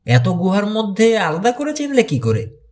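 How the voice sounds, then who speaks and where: A man's voice: high-pitched, wildly swooping pitch, normal speed, darkish tone, voice pushed up. A man voicing a squeamish, grumbling teenage boy detective in an audiobook. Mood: curious.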